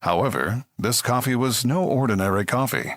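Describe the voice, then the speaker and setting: A man playing a mock-serious narrator of a comedy space drama, deadpan and grand. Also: medium pitch, normal speed, no echo, clean studio sound, natural voice.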